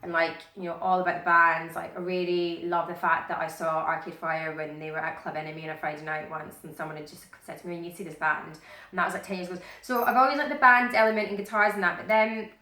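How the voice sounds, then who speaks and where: A young woman with a Glaswegian Scottish accent, a radio presenter and DJ chatting excitably in an interview, full of "like"s and restarts. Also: fairly low pitch, quick pace, echoey room. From Scotland. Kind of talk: casual interview chat.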